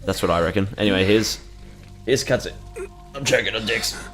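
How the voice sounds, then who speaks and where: Deep-voiced joking gaming streamer. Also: male voice, brisk, a bit bright, soft background music. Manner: joking, rambling, sarcastic asides. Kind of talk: casual stream commentary.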